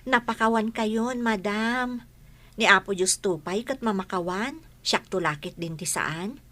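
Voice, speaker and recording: An actress playing a humble, patient, forgiving young housemaid in a melodramatic radio-style drama. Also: female voice, medium pitch, a little slow, sharp tone.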